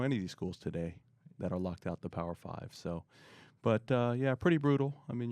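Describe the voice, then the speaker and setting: A middle-aged man answering interview questions in a relaxed, rambling way, often pausing with fillers. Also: on the low side, creaky, no room sound. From born in Korea, raised in the US. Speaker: guest, marketing expert.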